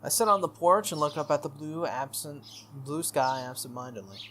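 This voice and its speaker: A man's voice: on the high side, moderate pace, fairly bright tone, a little hiss or hum. A man reading visual novel narration aloud as the host of a playthrough. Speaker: gaming channel host. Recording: music under the voice. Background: game music.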